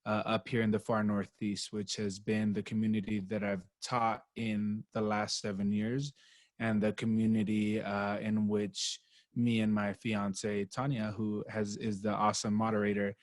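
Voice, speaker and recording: Guy, teacher from california introducing himself, flat delivery, close dry sound. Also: on the low side, unhurried, no echo. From born in Chile, raised in San Diego, California. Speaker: educator, guest. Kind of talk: casual self-introduction.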